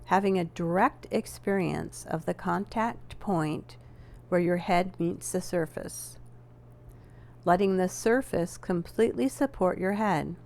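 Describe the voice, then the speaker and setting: Female yoga nidra guide, unhurried and gently instructive, lowish voice with a creaky edge. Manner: measured, gently instructing with deliberate pauses. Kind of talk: guided relaxation meditation.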